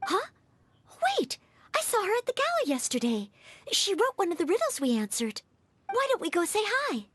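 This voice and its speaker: Super high girly game knight, eager to help, polite n bubbly, really lively intonation. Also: moderate pace, full character voice. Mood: excited. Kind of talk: scripted video game dialogue.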